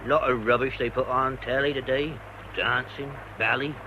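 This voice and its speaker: An actor playing a blunt, grumbling English working-class senior verger in a British radio drama, speaking in clipped sentences. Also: male voice, mid-range voice, fairly even intonation, moderate pace, muffled recording, background noise, lightly acted. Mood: blunt, disapproving.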